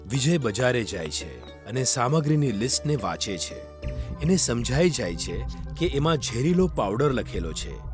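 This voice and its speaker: A man narrating a scripted moral story for children. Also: medium pitch. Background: faint music.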